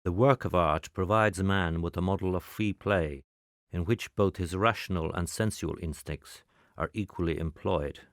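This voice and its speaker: Low-pitched man narrating a clipped dossier. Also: dry recording, studio quality. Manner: clipped, measured, formal report delivery. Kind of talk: scripted spy-dossier documentary narration.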